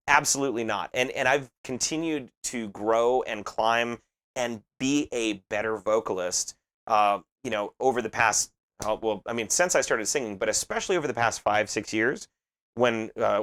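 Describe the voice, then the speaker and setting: A man's voice: medium pitch, a bit bright. A man in his late thirties, a metal band's lead singer, answering interview questions earnestly and often repeating words as he thinks aloud. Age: 30s.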